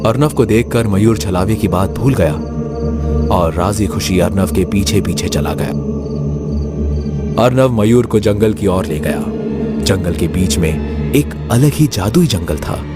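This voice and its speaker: Man, horror story narrator, low voice, scripted delivery, music behind. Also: expressive, a little slow.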